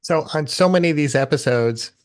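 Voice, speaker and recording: A man hosting a podcast, asking his guest measured, prepared questions. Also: on the high side. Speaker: podcast host. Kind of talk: interview questions.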